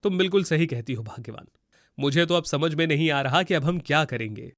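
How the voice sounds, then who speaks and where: Guy voicing poor worried farmer dad in a cartoon, highish voice, earnest and pleading, dry audio. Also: lively intonation, fairly quick, a bit bright, no echo. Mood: despairing. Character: troubled, poor farmer, gentle husband and father.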